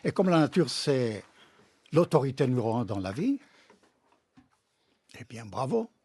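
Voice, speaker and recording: A man speaking with a foreign accent in a language not native to him, explaining ideas emphatically and haltingly as a radio interview guest. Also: mid-range voice, very animated, dark tone, slightly rough, no echo. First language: not French. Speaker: guest philosopher and author. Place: radio studio.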